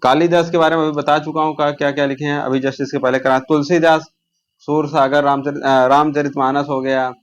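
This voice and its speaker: A man's voice: on the high side, flat delivery, brisk. A man teaches an informal exam revision lesson, repeating key terms and answering his own questions.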